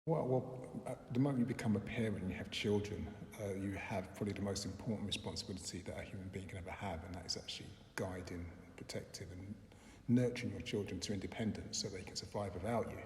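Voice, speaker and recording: Man, low voice, measured and reflective, roomy echo, faint hiss. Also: a little mellow. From London, England. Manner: thoughtful, measured, frequent "um" and restarts. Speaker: interviewee, filmmaker and lecturer. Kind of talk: reflective interview answers. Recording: roomy echo with long reverb, faint background noise.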